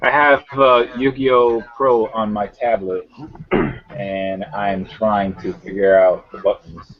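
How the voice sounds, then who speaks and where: A man's voice: lowish voice, level tone, slow pace. A man raised in Puerto Rico, a guest chatting casually, often restarting his sentences. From born in New York, raised in Puerto Rico. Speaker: guest on the stream. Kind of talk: casual chat. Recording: muffled voice chat audio.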